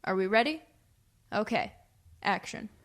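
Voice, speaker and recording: A woman hosting a radio comedy with scripted, clearly enunciated, showy presenter narration. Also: mid-range voice, expressive, unhurried.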